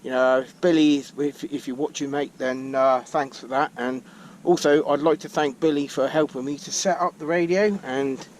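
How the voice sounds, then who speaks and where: A man's voice: medium pitch, steady tone, normal speed. A man with a British accent giving a casual, chatty hands-on gadget walkthrough to his viewers as a vlog host. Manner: chatty, addresses viewers as folks. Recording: clear vehicle and ambient noise.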